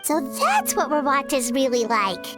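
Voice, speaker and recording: A woman's voice: sits high, wildly swooping pitch, a little slow, exaggerated voice. A woman voicing a tiny, chatty companion figure who is eager and blunt. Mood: chirpy. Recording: game dialogue over music.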